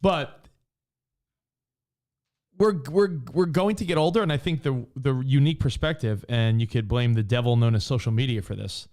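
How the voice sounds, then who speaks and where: A man in his forties co-hosting a podcast in casual chat, animated and fond of acting out quoted voices. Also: medium pitch, normal speed. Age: 40s. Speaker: podcast co-host.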